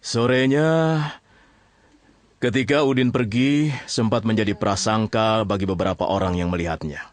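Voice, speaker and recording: A man's voice: fairly low pitch, moderate pace, dry recording, close to an everyday voice. A man playing an easygoing, teasing storyteller in a conversational drama, with a Jakarta accent. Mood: easygoing narrating. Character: easygoing teasing male storyteller among friends. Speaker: storyteller narrator.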